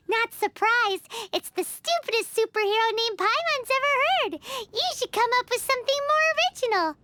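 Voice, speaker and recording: A woman voicing a tiny, excitable, childlike fairy companion in a video game, cheeky and exclamatory. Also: very high voice, moderate pace, slight background noise, full character voice. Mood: teasing. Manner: speaks of self in third person, exclamatory. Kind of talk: video game character acting. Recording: game music behind the voice.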